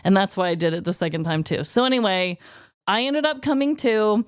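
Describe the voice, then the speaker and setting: A woman's voice: mid-range voice, lively intonation, fairly quick, clean tone, no room sound, studio quality. A woman co-hosting a podcast, telling stories casually and comically in an informal, giggly manner. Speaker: podcast co-host. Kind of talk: casual comedic storytelling chat.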